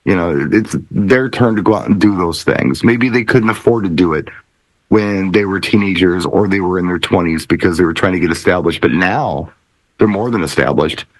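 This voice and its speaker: A man's voice: sits low, moderate pace, no room sound, rough recording. A middle-aged American man in casual panel chat, blunt and slangy, repeating phrases for emphasis. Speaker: DJ and podcast panelist.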